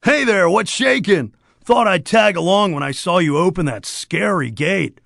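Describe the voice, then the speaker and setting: Goofy surfer dude game creature, super animated n scratchy, chill braggy beach guide, dry studio sound. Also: male voice, high voice, unhurried, vocal fry, no echo, heavily acted. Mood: casual, conspiratorial. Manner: surfer slang, exaggerated laid-back enthusiasm. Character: laid-back upbeat surfer dude cartoon creature, boastful beach guide. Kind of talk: animated game character dialogue.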